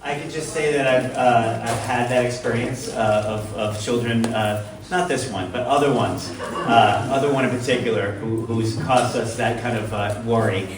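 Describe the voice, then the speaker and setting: A man's voice: mid-range voice, normal speed, few pauses. A man hosting a live storytelling event, who speaks hesitantly, with many fillers, as he introduces the next speaker. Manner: hesitant, filler-heavy, with laughs. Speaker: host. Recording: very echoey hall, mic from far off, background noise.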